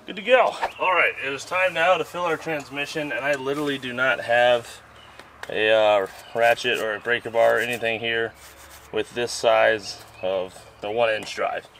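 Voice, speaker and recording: A man's voice: medium pitch, steady tone, normal speed, sharp tone, slight background noise. A man hosting a vlog, chatting casually and joking as he works on an old tractor outdoors, sometimes muttering to himself. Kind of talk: casual how-to narration while working. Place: outdoors on a farm.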